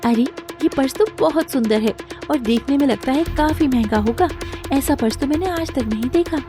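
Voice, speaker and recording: A woman's voice: high-pitched, normal speed, over music, close to an everyday voice. A woman voicing a poor, honest, kind-hearted young woman who speaks earnestly and emotionally.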